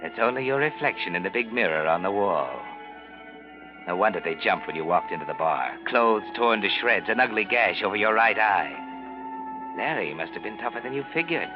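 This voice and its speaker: A man acting as the menacing narrator of a 1940s radio suspense play, speaking like a guilty conscience in a measured, insinuating manner. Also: lowish voice, lively intonation, natural voice. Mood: taunting, sinister. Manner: measured, insinuating, addressing the character as you. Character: ominous taunting male narrator, a guilty man's inner voice. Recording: muffled 1940s radio recording, music behind.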